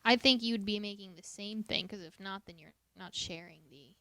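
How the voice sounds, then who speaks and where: A woman co-hosting a podcast in casual chat, playful with quick interjections. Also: medium pitch, a bit bright. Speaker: podcast co-host.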